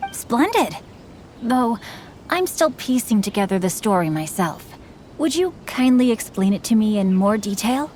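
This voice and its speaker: A woman voicing a polite, bookish young gentleman in a video game, speaking in a formal, courteous and refined manner. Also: on the high side, very animated, measured pace, a little hiss or hum.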